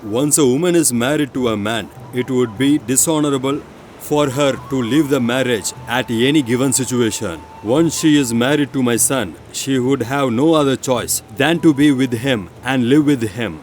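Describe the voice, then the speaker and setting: A man's voice: medium pitch, normal speed, a little hiss or hum, natural voice. An actor playing a scheming, persuasive father in a moral story, speaking in a formal, insistent way. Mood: dismissive, persuasive. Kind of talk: animated storybook acting.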